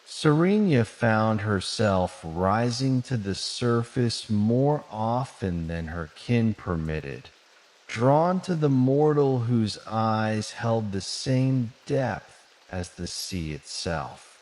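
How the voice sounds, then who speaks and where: A man reads a bedtime tale at an extremely slow pace, gently and soothingly. His fairly low voice rises and falls with measured storybook drama, carried in a long reverb. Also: expressive.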